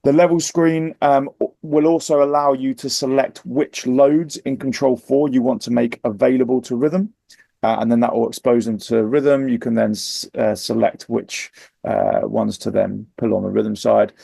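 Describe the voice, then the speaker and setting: A man's voice: medium pitch, fairly even intonation, normal speed, vocal fry, mellow, no room sound. A man giving an informal product presentation in a matter-of-fact, explanatory way.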